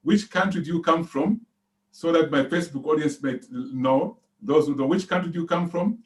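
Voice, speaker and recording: A man's voice: fairly high pitch, moderate pace. Enthusiastic man hosting a video call. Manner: enthusiastic, hesitant with fillers. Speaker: seminar host. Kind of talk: online interview.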